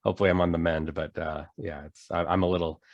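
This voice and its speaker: A man with a fairly low voice hosts an interview over a video call, his nose stuffed up from a cold. He sounds upbeat and friendly as he asks his questions. The audio is dry and close. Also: fairly even intonation, quick pace, no room sound, muffled recording. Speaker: podcast host. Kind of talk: casual interview chat.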